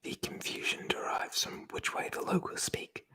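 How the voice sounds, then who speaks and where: Someone whispering in a breathy voice, reading aloud from a book in an ASMR style with chatty, informal commentary. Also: normal speed, sharp tone. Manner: chatty, informal, swears casually. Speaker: presenter reading aloud from a tabletop RPG book.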